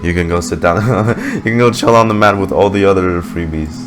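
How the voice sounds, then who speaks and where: A man's voice: low voice, expressive, fairly quick. A man giving casual, slangy reaction commentary over a video game and reading out dialogue choices. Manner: laid-back, slangy. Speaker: gaming reactor. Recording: game music behind.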